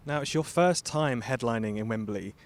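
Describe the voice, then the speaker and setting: A man's voice: mid-range voice, normal speed, slight background noise. A man working as a radio interviewer, putting questions to guests. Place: stadium.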